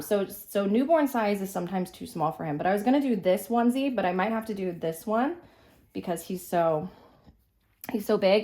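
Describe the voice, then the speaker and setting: A woman's voice: mid-range voice, darkish tone. A young woman from California chatting casually, with many fillers and restarts, while dressing a doll, her voice a little stuffy from being sick. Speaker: vlogger, reborn doll collector.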